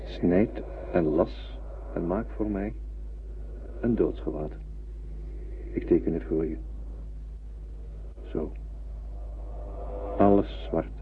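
Een acteur die in een hoorspel een zwaarmoedig, sterk buitenaards wezen speelt, kortaf en berustend in de dood. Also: male voice, low voice, slow, long pauses. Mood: grimly resolved. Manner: brooding, terse, weighty. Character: melancholy male alien, tall and strong, resigned to death. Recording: muffled old radio-play recording, faint hiss.

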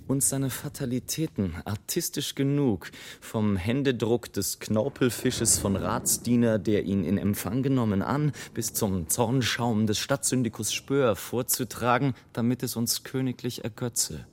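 An actor playing an irascible, sardonic airship adventurer in a German radio play, declaiming with bitter irony. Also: male voice, mid-range voice, unhurried, darkish tone, a little hiss or hum, natural voice. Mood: mocking, confiding.

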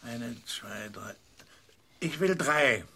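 An actor in a radio drama playing a cautious, fretful gambler with a hesitant, muttering way of talking. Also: male voice, mid-range voice, animated, extremely slow, sharp tone, slight background noise, heavily acted. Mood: dithering. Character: cautious, dithering male gambler, fretful about money.

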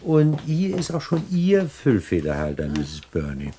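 An actor playing a fussy, courteous gentleman crook in a 1960s German radio play, with flowery, hesitant politeness. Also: medium pitch, wildly swooping pitch, measured pace, a little hiss or hum. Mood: polite, insistent. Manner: flowery, over-polite, hesitant, repeats himself.

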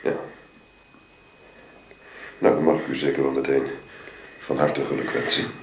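A gruff, hot-tempered father. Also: rumbling low, flat delivery, unhurried, roomy sound, rough recording. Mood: angry. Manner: gruff, blustering. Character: father, protective quick-tempered widower.

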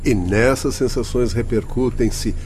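An actor with a Brazilian accent playing a patient doctor who explains deliberately and calmingly in a radio drama. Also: male voice, medium pitch, slight background noise, close to an everyday voice. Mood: patient, instructive.

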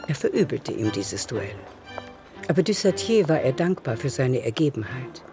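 A man's voice: medium pitch, sing-song, normal speed, over music. A man narrating a literary radio drama from a script.

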